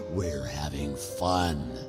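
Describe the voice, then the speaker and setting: A man's voice: very deep voice, heavily acted. A man playing a sadistic demonic monster in a horror audio drama, taunting its victims playfully and drawing out its words. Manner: slow, taunting, drawn-out delivery.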